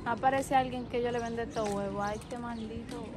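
A woman's voice: a little high, steady tone, a little fast, background noise, close to an everyday voice. A woman playing a young street egg seller who pleads for help for her sick brother, speaking. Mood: weary. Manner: pleading, sighing. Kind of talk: amateur street drama acting. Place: outdoors on a sunny street.

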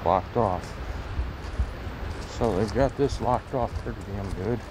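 A man's voice: fairly low pitch, slow pace, background noise. A man vlogging while he explores an abandoned lakeside house, talking in short, awed exclamations as he goes from room to room. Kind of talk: hushed exploring commentary.

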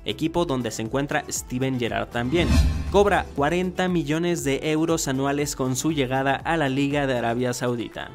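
A man in his thirties narrating a scripted football countdown as an online video host, with emphatic presenter-like delivery. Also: medium pitch. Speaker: YouTube host. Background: background music.

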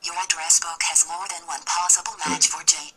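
A woman's voice: low voice, steady tone, a little fast, crowd noise. A synthetic woman's voice giving short phone assistant responses, played from a phone speaker. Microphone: phone speaker picked up by camera.

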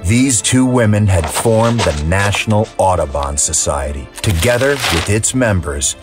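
A man's voice: fairly low pitch, lively intonation, unhurried, music in the background. A man reading scripted documentary narration as the narrator.

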